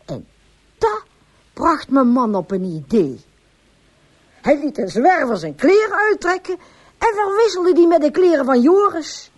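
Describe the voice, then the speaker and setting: An anxious, pleading farm wife in a radio drama. Her voice is high and swings widely in pitch. She tells things earnestly and with feeling. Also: big pitch swings, unhurried, pauses often, a little mellow. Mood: distressed, confessing. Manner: earnest, emotional storytelling. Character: distraught, pleading farmer's wife confessing all.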